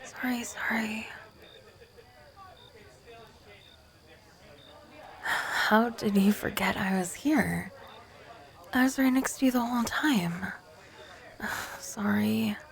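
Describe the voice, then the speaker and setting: A woman's voice: on the high side, unhurried, creaky. A woman acting as an awkward, nervous young female ghost in an ASMR roleplay, stammering, flustered and defensive. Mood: flustered, apologetic.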